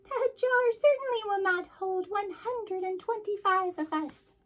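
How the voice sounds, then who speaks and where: Shrill, bossy queen bee. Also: a little slow. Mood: angry, insistent. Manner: shrill, imperious, insistent. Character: haughty, indignant queen bee, female.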